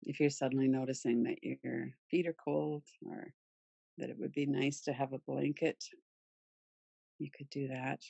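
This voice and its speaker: Female meditation guide, soft-edged dark tone, close dry sound, soothing delivery with pauses. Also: very deep voice, flat delivery, vocal fry, husky, no room sound, muffled recording. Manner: soothing, gentle, unhurried with pauses. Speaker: yoga nidra meditation guide.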